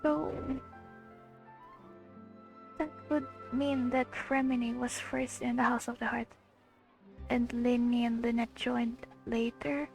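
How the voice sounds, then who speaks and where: A woman giving casual gameplay commentary, thinking aloud in a halting, questioning way as she reacts to a story. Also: a little high, level tone, vocal fry. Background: faint game music.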